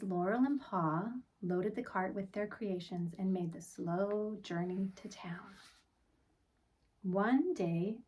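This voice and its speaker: A woman reading a picture book aloud for children in an expressive, deliberate storytelling manner, with phrases that often end softly. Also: mid-range voice, recorded from a distance, poor audio.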